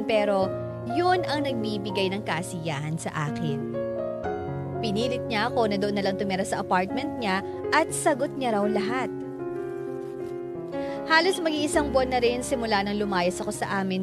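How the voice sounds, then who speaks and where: Actress as young filipino woman telling her story, reflective, mid pitch, music really loud behind. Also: natural voice. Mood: grateful, reflective. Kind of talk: reflective first-person drama narration.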